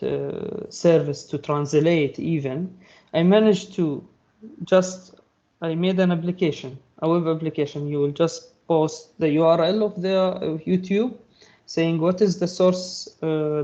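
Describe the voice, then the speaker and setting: A fairly high-voiced man whose first language is Arabic walks through a technical topic in a halting, filler-heavy way. His tone is mellow and soft. The sound is dull and lo-fi. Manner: halting, many fillers and restarts. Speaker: presenter. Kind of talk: technical talk.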